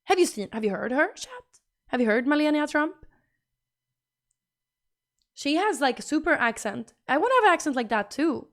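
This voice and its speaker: A woman's voice: high-pitched, sing-song, moderate pace, long pauses. A young woman with a Swedish accent, a streamer chatting casually and reading out her chat, bubbly and repetitive. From Sweden.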